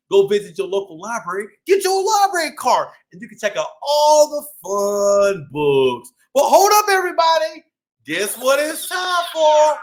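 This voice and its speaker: A man hosting a read-aloud for young children, playful and exclamatory with a sing-song delivery. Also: extremely high pitch. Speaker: children's storytime host.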